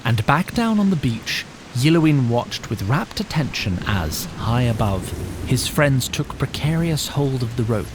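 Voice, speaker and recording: A man's voice: mid-range voice, very animated, unhurried, background noise. A man narrating an audio drama in scripted, literary, slightly wry storybook style.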